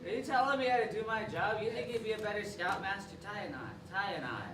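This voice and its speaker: A man's voice: high voice, fast talker, distant mic, close to an everyday voice. A man on stage playing a bitter, washed-up former scoutmaster in a live stand-up character set, talking in a rambling, bitter way. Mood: gruff. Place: live comedy venue with audience. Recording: echoey room recorded from afar, noisy.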